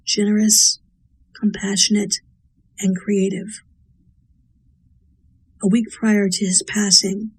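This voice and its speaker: A woman delivers a prepared spoken tribute. Her voice is husky and even, with little rise or fall, and her manner is measured and sincere. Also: mid-range voice, low-quality recording. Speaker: voice actor paying tribute.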